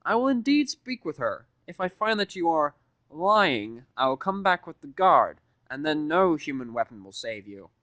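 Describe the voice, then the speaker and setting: Guy doing a snobby rich pony dad for a fanfic reading, higher voice, pompous n threatening, drags words out. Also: sing-song, bright, forceful tone, no room sound. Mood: cold threat. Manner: pompous, slow, deliberate threats. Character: haughty wealthy pony father, menacing and indignant.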